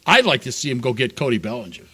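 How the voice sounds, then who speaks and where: A man's voice: mid-range voice, big pitch swings, fast talker, a bit bright, slightly rough. A man co-hosting a sports talk show, chatting loosely and laughing between points. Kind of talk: casual sports chat.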